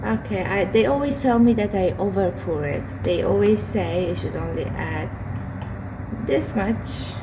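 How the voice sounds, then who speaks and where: A woman with a hoarse voice chats slowly through a home vlog, pausing often on fillers. Her pitch sits in the middle range. Clear street and vehicle noise drifts in through open windows behind her. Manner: slow, unhurried, hesitant with many fillers. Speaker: vlogger. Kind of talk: casual daily vlog while cooking. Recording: home recording with outside traffic noise. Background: street and vehicle noise from outside.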